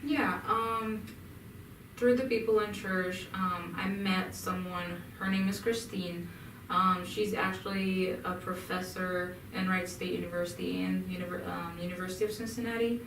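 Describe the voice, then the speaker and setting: Hesitant young woman from Egypt, low and flat, in an echoey room. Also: moderate pace, slight background noise. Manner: hesitant, many ums and restarts. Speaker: interview guest. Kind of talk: casual interview answers. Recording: echoey room, mic at a distance.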